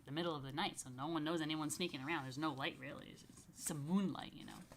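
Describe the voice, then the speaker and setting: A woman's voice: low voice, a little hiss or hum. A woman reading a picture book to young families as a rabbi, stretching key phrases expressively and slipping into chatty asides. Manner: expressive, slow emphatic storytelling with chatty murmured asides. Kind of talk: children's story read-aloud with casual asides.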